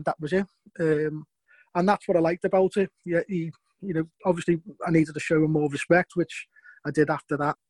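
Middle-aged Scouse ex-footballer, rambling raconteur, slightly high voice, dry close recording. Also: male voice, darkish tone, dry recording. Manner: rambling, repeats words, chuckles between points. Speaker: former footballer. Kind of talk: interview storytelling.

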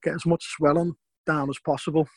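A man's voice: a little high, flat delivery, brisk, a little mellow, dry recording. Scouse man telling stories, dry sound. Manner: rambling, repeats words, chuckles between points. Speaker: former footballer. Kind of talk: interview storytelling.

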